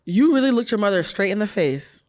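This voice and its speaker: A man's voice: high-pitched, sing-song, darkish tone. A man hosting a podcast in a studio, interviewing his cousin in casual chat, teasing and jumping in with questions. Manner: quick, teasing, jumps in with questions. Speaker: podcast host.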